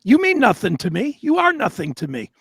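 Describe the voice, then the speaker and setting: A man with a raspy voice co-hosting an unscripted talk show, arguing in a crude, defiant, profane way. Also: high voice, wildly swooping pitch, a little fast, a bit bright, no room sound, studio quality.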